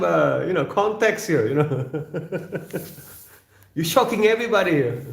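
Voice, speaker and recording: A man with an Indonesian accent, not a native English speaker, hosting a casual livestream and reading viewer comments in a halting way, with repeated words, fillers and frequent throat clearing. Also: medium pitch. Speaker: livestream host. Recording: echoey room.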